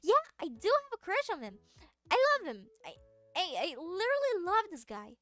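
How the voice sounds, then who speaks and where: A woman's voice: very high-pitched, wildly swooping pitch, normal speed, fairly bright tone, dry recording, faint music behind. A young woman from Brazil with a Brazilian Portuguese accent, chatting casually with her viewers, rambling with lots of fillers and giggles. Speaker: streamer.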